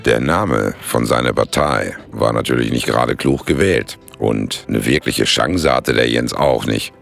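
An actor narrating a radio play as a wry, blunt working-class buddy with a gravelly voice and slangy way of talking. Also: male voice, very deep voice, a little slow, music in the background, heavily acted. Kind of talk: colloquial radio drama narration.

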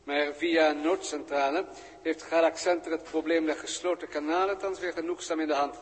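A man with a Netherlands Dutch accent playing a deferential, precise alien colleague in a 1960s radio drama, speaking in measured, formal sentences. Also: on the high side, fairly even intonation, close to an everyday voice. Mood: solemn, respectful. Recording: old radio recording with long reverb.

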